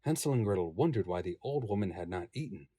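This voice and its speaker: A man's voice: fairly low pitch, lively intonation, quick pace, mellow, husky, no echo, clean studio sound. A man reading scripted audiobook narration as a voice-over narrator.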